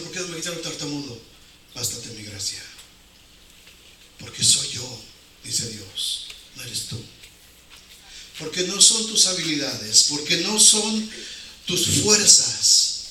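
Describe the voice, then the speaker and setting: A man's voice: a little high, bright, forceful tone. A man with a Mexican accent preaching an informal sermon in colloquial words, using rhetorical questions and repeating phrases for emphasis. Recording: very echoey hall, distant, noisy.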